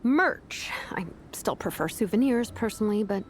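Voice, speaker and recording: Wry, lively-pitched laid-back young woman , acted. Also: moderate pace, slight background noise, natural voice. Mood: awkward, fond. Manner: casual, wry, slightly deadpan. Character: laid-back, dry-witted young craftswoman, fond big-sister type.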